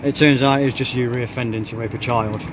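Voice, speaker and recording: A man's voice: medium pitch, fairly even intonation, fast talker. A man confronting someone in the street, blunt and insistent, repeating himself, recorded on a phone outdoors. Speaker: confronting member of a hunting group. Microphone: phone held while recording. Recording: outdoor traffic noise behind.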